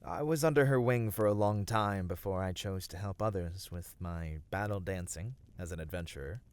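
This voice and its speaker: A man playing a gentle, devoted male battle dancer of a fantasy race in an ASMR roleplay, speaking earnestly and soothingly with dramatic pauses. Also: low voice, smooth, lightly acted. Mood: warm reminiscence. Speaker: voice actor.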